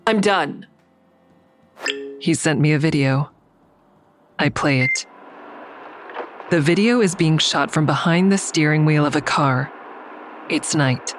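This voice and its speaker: A woman's voice: deep voice, takes it slowly, long pauses, background noise. An actress playing a guarded, defiant woman in an audio drama, narrating and speaking in a terse, dry, confiding way. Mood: uneasy. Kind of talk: first-person audio drama narration and dialogue. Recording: faint music under the voice.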